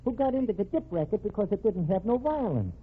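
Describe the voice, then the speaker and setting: Tinny, high, raspy pickpocket. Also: male voice, big pitch swings, quick pace, exaggerated voice. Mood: cocky, wry. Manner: slangy, folksy, cheeky. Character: cocky, wry small-time pickpocket loyal to a lady. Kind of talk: old-time radio crime drama acting. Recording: tinny band-limited old radio recording.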